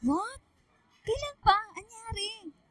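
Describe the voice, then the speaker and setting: Woman as a very high-pitched, excitable Filipina friend. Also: slow pace, no room sound, exaggerated voice. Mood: shocked. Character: excitable young female friend, shocked and chatty. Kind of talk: Filipino radio drama acting.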